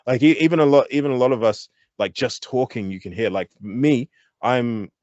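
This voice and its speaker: A man with a New Zealand accent, a hip-hop artist guesting on an interview, talking candidly with quick restarts and lively pitch jumps. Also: dry recording, lo-fi sound. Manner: animated, thinks aloud with many restarts. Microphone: online call.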